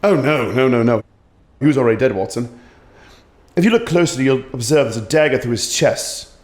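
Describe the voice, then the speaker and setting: A man playing a shrewd, calm detective in a radio play, brisk and commanding with a dry wit, in a roomy room. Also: medium pitch, lightly acted. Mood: calm, deductive. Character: shrewd calm male detective, middle-aged. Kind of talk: community radio theater acting. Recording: roomy echo.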